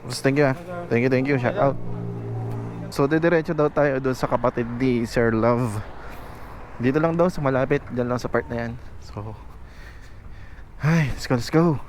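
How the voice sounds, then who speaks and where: A man's voice: medium pitch, normal speed, pauses often. Chatty Filipino man vlogging through noise. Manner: chatty, informal, mixes English phrases into talk. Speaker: motorcycle vlogger. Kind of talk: casual vlog commentary. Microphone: helmet intercom headset. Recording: clearly noisy outdoor intercom audio.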